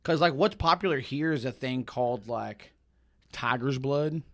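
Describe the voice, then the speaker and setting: A man from Texas hosting a casual podcast, chatty and quick to laugh, telling stories. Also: mid-range voice, a bit bright. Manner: chatty, laughs often, storytelling. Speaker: podcast host.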